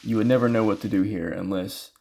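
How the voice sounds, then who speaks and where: A man casually commentating his own speedrun, explaining routes and bosses in a relaxed, rambling way with plenty of fillers. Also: fairly low pitch, a little fast, vocal fry, a little hiss or hum. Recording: game music playing loudly behind. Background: game music.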